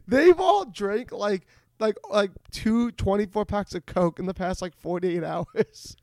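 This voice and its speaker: A man hosting a comedic podcast over a video call, telling rambling, excitable stories with some profanity. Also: sits high, measured pace, no echo, studio quality. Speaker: podcast host.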